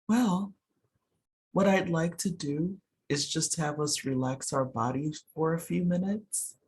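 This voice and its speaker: A person leading a guided meditation in a soothing, unhurried way, pausing between short phrases. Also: mid-range voice, a little mellow, breathy edge. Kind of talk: guided meditation, slow instructions.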